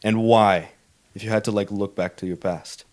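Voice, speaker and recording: A man's voice: lowish voice, steady tone, normal speed, a little mellow. A man interviewing casually as a podcast host, agreeing often as he goes. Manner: agreeable, frequent backchannels.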